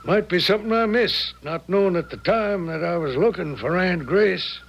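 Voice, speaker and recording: An American actor playing a plain-spoken small-town sheriff with a creaky voice, folksy and deliberate, in 1970s radio drama style. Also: male voice, high voice, highs cut off, background noise. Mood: pondering.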